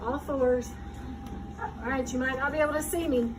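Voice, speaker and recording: A woman's voice: high-pitched, fairly even intonation, normal speed. A woman yoga teacher leading a children's class, giving short step-by-step cues and checking in with questions. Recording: distant mic, clearly noisy room.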